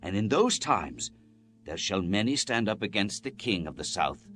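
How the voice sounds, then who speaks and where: A man narrating the Bible as a dramatized scripture reading, in a solemn, measured, declamatory manner. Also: fairly low pitch, sing-song, fairly bright tone. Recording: music bed under the voice. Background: background music.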